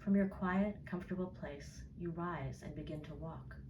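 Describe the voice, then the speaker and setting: A woman narrating a guided meditation in a soothing, measured way, leaving gentle pauses. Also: fairly low pitch, noisy background. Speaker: therapist leading a guided meditation. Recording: roomy echo, distant from mic.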